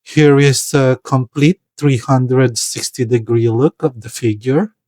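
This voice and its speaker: A man from the Philippines, giving a product review voice-over in a careful, hesitant way, often pausing to search for words. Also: medium pitch, fairly even intonation, darkish tone, no echo. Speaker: toy reviewer.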